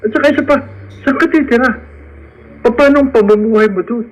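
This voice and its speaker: A man's voice: very high voice, fairly quick, lo-fi sound. A man playing a loyal, cautious young working man in a radio drama, reasoning in a concerned manner. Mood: worried, reasoning.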